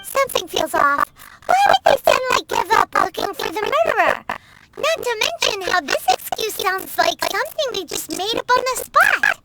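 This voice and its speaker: A woman voicing a small childlike fairy companion in a video game, excitable and curious, exclaiming often. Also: extremely high pitch, moderate pace, heavy background noise. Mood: puzzled, suspicious. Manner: refers to self in third person, exclaiming. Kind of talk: animated video game dialogue. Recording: loud game music behind the voice.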